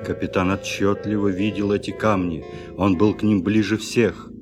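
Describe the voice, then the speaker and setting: A man giving scripted narration for a radio play in an even, steady storytelling voice. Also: lowish voice, moderate pace, music in the background.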